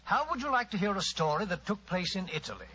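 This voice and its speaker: Courtly, chatty English storyteller of advancing years, bright and forceful, 1940s radio drama, slightly noisy old recording. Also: male voice, high voice, sing-song, fast, heavily acted. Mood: genial, reminiscing. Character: Doctor Watson, genial older English gentleman storyteller. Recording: muffled old radio recording, faint noise.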